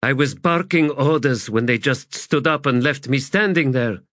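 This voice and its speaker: A man's voice: mid-range voice, normal speed, a bit bright, no room sound, clean studio sound, pitched above the performer's own voice, lightly acted. A man voicing a no-nonsense female army commander who speaks in a clipped, commanding way. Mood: brisk.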